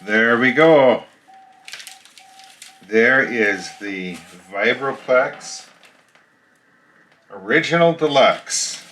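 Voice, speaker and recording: A man's voice: medium pitch, animated, extremely slow, long pauses, bright, forceful tone. A man casually narrating an unboxing of a radio key, explaining each part plainly as he shows it.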